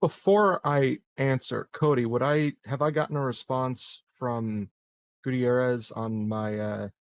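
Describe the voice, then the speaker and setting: A man's voice: medium pitch, a little slow, no room sound. A man chatting casually as a player in a tabletop roleplaying game.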